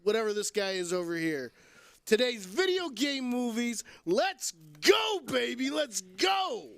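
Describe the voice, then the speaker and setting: Male podcast host, high voice, loud teasing banter, strong opinions, dry sound. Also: big pitch swings, a little slow, no echo. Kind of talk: casual movie debate chat.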